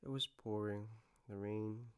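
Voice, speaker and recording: A man playing a gentle, doting partner in an intimate comfort roleplay, speaking tenderly and haltingly with a playful touch. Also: lowish voice, fairly even intonation, smooth, natural voice. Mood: sleepy storytelling. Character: gentle, doting male partner soothing a lover after a nightmare.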